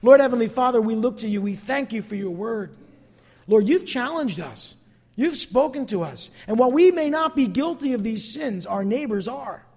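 A man's voice: extremely high pitch, lively intonation, normal speed, darkish tone. A man preaching a sermon as a pastor, emphatic yet conversational, repeating phrases for emphasis. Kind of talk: sermon to a congregation, closing in prayer. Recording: long hall reverb.

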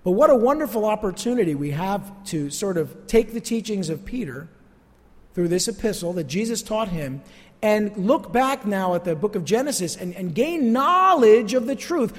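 A male pastor teaching a sermon in an earnest, emphatic preacher's manner. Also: high voice. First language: not Spanish. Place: church sanctuary. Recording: church hall reverb.

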